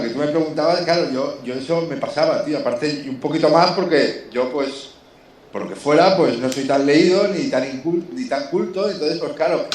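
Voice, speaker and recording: A man from Barcelona with an accent from Spain, chatting casually in an enthusiastic, halting way and repeating himself. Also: fairly high pitch, darkish tone. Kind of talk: casual chat. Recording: echoey room, faint noise.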